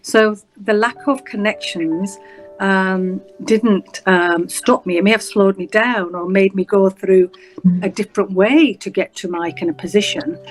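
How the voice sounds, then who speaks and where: A woman's voice: mid-range voice, soft background music, poor audio. Reflective Yorkshire woman in her fifties. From England. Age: 50s. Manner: measured, reflective, many "um" pauses. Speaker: guest, senior prosecutor. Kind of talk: interview storytelling.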